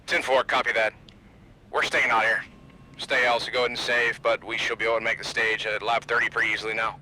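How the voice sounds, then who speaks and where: A man playing a NASCAR crew chief on simulated team radio, steady and businesslike, giving clipped procedural instructions over a radio filter. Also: medium pitch, quick pace. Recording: radio chatter over car noise.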